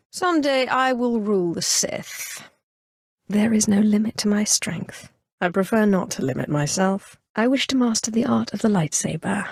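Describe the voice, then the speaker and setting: A woman performs a young Sith sorceress from a video game, once a slave and now imperious. She speaks in a mid-pitched female voice with cool, dry mockery and haughty composure. The sound is dry, with no room to it. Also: no echo, natural voice. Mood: smug, cutting. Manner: cool, imperious, dryly mocking delivery. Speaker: video game player character. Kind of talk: scripted video game dialogue.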